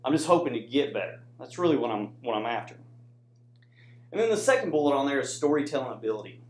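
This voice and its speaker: American guy doing a casual class presentation, chatty with the audience, average pitch, pretty echoey room. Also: big pitch swings, quick pace, darkish tone, slight background noise. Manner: conversational, addresses audience with frequent "right?" tags. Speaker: student presenter, soldier. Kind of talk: informal classroom presentation with slides.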